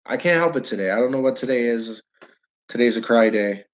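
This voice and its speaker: A man's voice: mid-range voice, lively intonation, brisk, muffled recording. A man with a northern New York accent hosting a casual livestream, rambling, restarting sentences and talking to viewers by name. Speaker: livestream host. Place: his downtown building.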